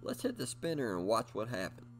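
A man's voice: medium pitch, wildly swooping pitch, brisk, faint music behind. A middle-aged man giving scripted instructional narration in an upbeat presenter manner, speaking straight to his viewers. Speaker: host of a bowling instruction video.

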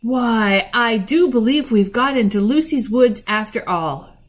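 Give the part of a storybook schoolboy leader to a woman reading aloud. He is an eager, decisive older brother who exclaims in old-fashioned turns of phrase. The pitch is lifted and leaps about with great animation. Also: animated, normal speed. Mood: excited.

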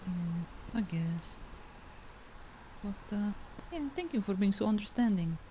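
A woman's voice: medium pitch, takes it slowly, mellow, a little hiss or hum, natural voice, poor audio. A woman voicing an awkward, self-doubting, easily embarrassed storyteller in a fantasy game roleplay, hesitant and self-deprecating. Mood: sheepish.

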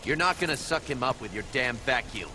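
A man's voice: medium pitch, expressive, brisk, lightly acted. A man voicing a brash, blunt young American assassin in a video game cutscene. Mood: angry. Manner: cocky, blunt, casual. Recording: clear background noise.

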